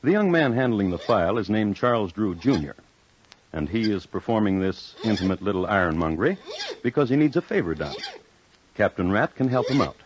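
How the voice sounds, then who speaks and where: A man playing a wry, urbane crime-story host, narrating with droll, deliberate precision in old-time radio style. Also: fairly low pitch, expressive, slight background noise, close to an everyday voice. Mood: wry, measured. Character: wry, urbane connoisseur of crime telling murder tales. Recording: dull old radio recording. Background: music.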